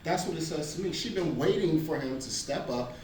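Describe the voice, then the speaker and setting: A woman gives blunt, emphatic opinions in casual group talk. The room is echoey and the recording has clear noise. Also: low voice, animated, a little fast, a little mellow, distant mic. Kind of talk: casual, animated commentary. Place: indoor room at a group table read.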